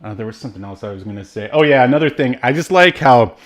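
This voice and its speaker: A man co-hosting a casual film discussion, talking in a halting, rambling way. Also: medium pitch, expressive. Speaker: podcast co-host reviewing films.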